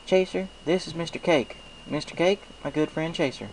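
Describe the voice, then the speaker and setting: A man's voice: fairly high pitch, normal speed, slight background noise, pitched above the performer's own voice, close to an everyday voice, poor audio. A man voicing a friendly, plain-spoken female farm pony with an easygoing, folksy manner in a cartoon fan story.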